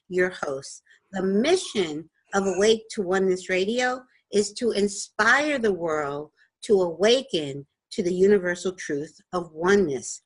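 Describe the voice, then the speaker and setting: Female host, mid-pitched, earnest and eager; online radio interviewer. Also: fairly bright tone, low-quality recording. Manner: earnest and enthusiastic, with scripted-sounding openings.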